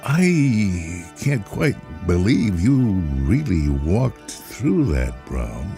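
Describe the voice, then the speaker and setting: Man playing a high-pitched, stammering, nervous little man. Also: very animated, drawn-out words, creaky, dark tone, full character voice. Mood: doubtful. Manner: hesitant, stammering. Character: nervous, pleading little man with a mysterious secret. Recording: music behind the voice.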